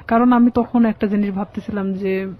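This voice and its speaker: A Bangladeshi woman giving personal testimony as an interview guest, in a halting, plain-spoken way. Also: mid-range voice, fairly even intonation. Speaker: guest telling her life story. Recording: dull, muffled lo-fi sound.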